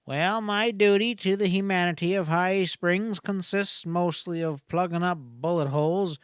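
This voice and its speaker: Man voicing a wry, high-pitched frontier doctor. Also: fairly bright tone, clear, resonant tone, dry recording, studio quality. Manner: folksy, drawling, eloquent. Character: wry, cynical frontier doctor, male, talkative and gallant. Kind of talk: Western audiobook character voice.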